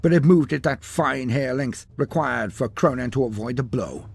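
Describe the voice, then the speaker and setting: A man delivering dramatic scripted narration of a fantasy battle story, theatrical, with deliberate pauses and emphasis. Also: medium pitch, creaky, breathy edge, faint music behind.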